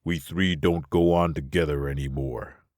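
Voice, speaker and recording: A man voicing a gruff, fatalistic, unsentimental spaceman in a science fiction audiobook, blunt and resigned. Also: rumbling low, fairly even intonation, moderate pace, a little mellow, dry recording, clean studio sound.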